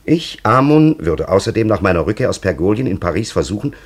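Give this radio drama a very low-voiced old man, an ancient time traveller who is grave and cunning. His delivery is solemn and ornate, with wide swings in intonation. He addresses others with patronising authority. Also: sing-song, brisk, husky. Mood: indignant, agitated. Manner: solemn, elaborate, theatrical storytelling. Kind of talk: radio play acting.

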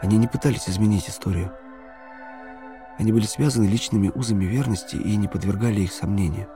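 A man's voice: on the low side, fast talker. A man giving a dramatic scripted narration of a novel as the narrator of an audio play. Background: music.

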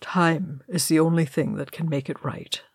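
A woman voicing a grave, sorrowful, noble male dwarf ghost in a solemn, measured storybook reading. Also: deep voice, steady tone, breathy edge, dry recording, studio quality. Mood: weary.

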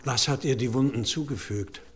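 An actor playing a stern older abbot in an audio drama, speaking gravely and deliberately with commanding authority. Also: male voice, medium pitch, close to an everyday voice. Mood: grave questioning. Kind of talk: German audio drama acting.

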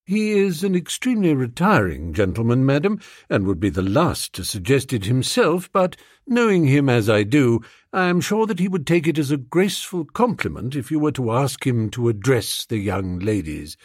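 A man voicing a discreet, deferential English valet in an audiobook, formal, measured and deadpan. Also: mid-range voice, no room sound, studio quality. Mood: smoothly deferential.

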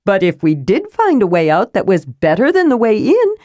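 A woman voicing a small, cheerful, curious male kender, high, bright and eager. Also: huge pitch swings, normal speed, dry recording, studio quality.

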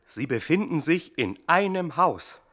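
An actor playing an excitable, eager police sergeant, loud and urgent, in a 1960s German radio comedy. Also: male voice, fairly high pitch, measured pace. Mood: excited.

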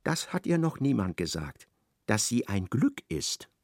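A male radio play narrator with a very animated, theatrical delivery. His voice has a creaky grain and sits in a dry, close recording as he relays what people said. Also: mid-range voice, a little slow, dry recording. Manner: theatrical, retells dialogue in indirect speech.

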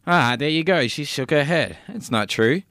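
A man's voice: a little high, expressive, moderate pace, fairly bright tone, no room sound, studio quality. A man in a comedy podcast, playing a flustered character in an improvised sketch and stammering through his lines.

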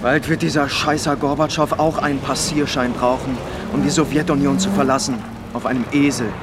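Male actor as hostile, blustering low-rank Soviet soldier for radio thriller; gruff, medium pitch, heavy engine and outdoor din behind. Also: little pitch movement, fairly quick, natural voice. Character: hostile, stubborn low-ranking Soviet officer, bluster over fear. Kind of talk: radio crime drama acting. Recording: loud outdoor vehicle noise behind.

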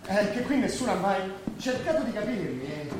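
A man's voice: high voice, very animated, normal speed. An actor playing a disillusioned war veteran in his late twenties in a stage drama, restless and wistful. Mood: bitter, agitated. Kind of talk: Italian stage drama acting. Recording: very echoey stage, distant, faint noise.

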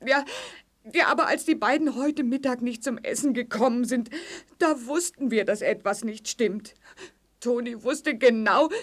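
A woman's voice: a little high, measured pace. A woman playing a worried mother in a children's audio play.

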